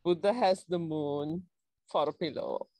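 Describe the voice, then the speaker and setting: Middle aged person reading little poems, very slow, dry earbud mic sound. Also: medium pitch, a little mellow, no echo. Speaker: poet reading short verses. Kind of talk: unhurried poetry recital. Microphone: wired earphones on a video call.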